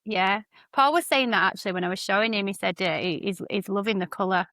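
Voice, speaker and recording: A mid-pitched woman with a dry, close-miked sound. She chats eagerly and giggles between her sentences as she talks with her co-host. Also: fast talker, pushed and bright, no room sound. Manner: enthusiastic, giggly. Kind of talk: casual chat.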